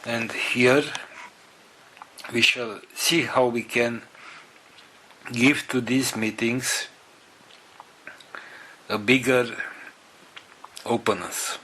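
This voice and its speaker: Slow, halting man with a bright voice. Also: medium pitch, fairly even intonation, a little hiss or hum, rough recording. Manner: halting, broken into short phrases with pauses; non-native English phrasing. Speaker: spiritual group organizer. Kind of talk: organizational announcement to a group.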